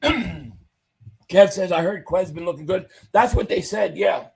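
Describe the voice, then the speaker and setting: Husky-voiced man losing his voice. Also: high-pitched, lively intonation, a little slow, darkish tone. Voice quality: husky, fading voice. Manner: enthusiastic fan reading chat comments aloud. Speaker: sports livestream host. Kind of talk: casual live-chat commentary.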